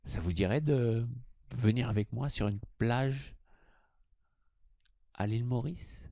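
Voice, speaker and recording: A man's voice: mid-range voice, slow, vocal fry, warm tone, dry recording, studio quality. A man hosting a spirituality video, speaking to viewers as a presenter. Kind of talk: informal video greeting, then scripted explanatory narration.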